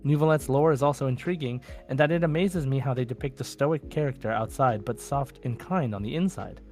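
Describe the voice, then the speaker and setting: A man's voice: mid-range voice, a little fast, a little mellow, soft background music. A man co-hosting a podcast, chatting casually and reading out messages from listeners. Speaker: podcast co-host.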